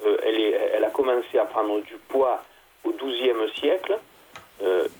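A man, a theology guest, explaining at length in a halting conversational way, with many fillers and restarts. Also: on the low side, a little mellow, slight background noise, low-quality recording.

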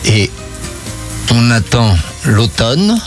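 A man's voice: medium pitch, big pitch swings, drawn-out words, soft background music. A man hosting a radio interview, asking questions in a casual conversational style. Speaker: radio host.

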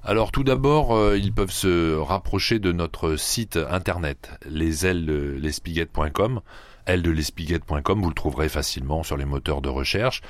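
A man from the Paris region, a club head being interviewed on radio, who pauses often with fillers and restarts. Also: low voice, no room sound. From Paris region, France. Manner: hesitant, many fillers and restarts. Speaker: guest, sand yachting club head.

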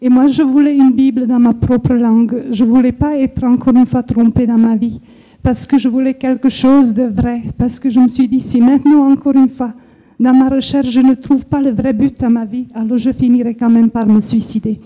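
Earnest older woman, very bright high voice. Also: fairly even intonation, highs cut off. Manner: slow, earnest, reflective with hesitations. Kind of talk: personal faith testimony.

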